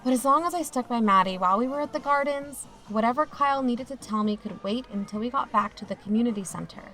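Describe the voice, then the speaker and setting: A woman's voice: fairly high pitch, fast, a bit bright, low-quality recording. A woman playing a watchful youth-camp chaperone who narrates an audio drama in a confiding first person. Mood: reflective, uneasy.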